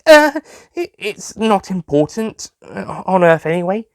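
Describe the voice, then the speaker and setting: Man doing a high-pitched, brisk female space agent. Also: big pitch swings, vocal fry, no echo, clean studio sound, exaggerated voice. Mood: flustered.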